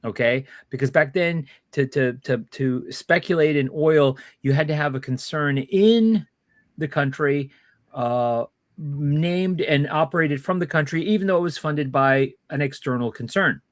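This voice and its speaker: Rambling man with a slightly high voice. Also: unhurried, no room sound, studio quality. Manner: rambling explainer, many fillers and restarts. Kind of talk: casual political chat.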